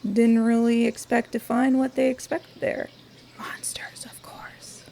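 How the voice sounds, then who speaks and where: A woman with a raspy voice, talking softly and unhurriedly through a quiet crafting vlog in her home studio, with wry asides. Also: on the high side, little pitch movement, unhurried, slight background noise. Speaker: crafting vlogger.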